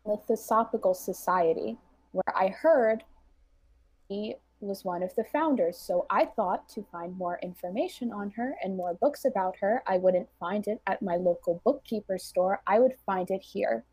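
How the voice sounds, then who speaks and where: A woman's voice: mid-range voice, moderate pace, a little mellow, husky, no room sound, rough recording. A woman playing her character in a tabletop game, polite and firm while she stays evasive. Her voice sits in the middle range, and the close mic sounds dry. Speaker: player.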